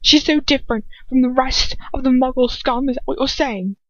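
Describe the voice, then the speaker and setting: A woman acts out a young wizard son from a fantasy story, enraged and mocking. The voice leaps high, scratchy and strained as it hurls accusations and breaks into shouts, on a slightly dull, dry recording. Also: moderate pace, dry recording. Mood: hard, mocking. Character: furious, mocking young wizard son confronting his father.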